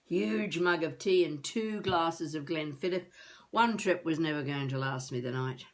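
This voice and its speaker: Slow-talking, wry older woman. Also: low-pitched. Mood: flustered. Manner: musing, halting, talking to herself. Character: elderly wannabe novelist woman, wry, rambling, self-mocking. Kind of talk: confessional monologue as a video diary.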